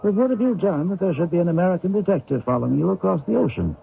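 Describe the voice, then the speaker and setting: Gravelly, genteel radio doctor. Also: male voice, high-pitched, lively intonation, exaggerated voice. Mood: probing, reassuring. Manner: genteel, measured, probing. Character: courteous, inquisitive male ship-travelling doctor. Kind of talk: old-time radio drama acting. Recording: tinny old radio drama recording.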